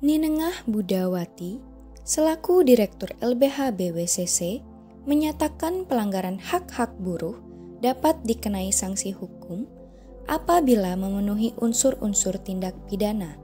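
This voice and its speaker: A woman reading scripted documentary narration in a measured, formal manner. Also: mid-range voice, lively intonation, warm tone, faint music behind.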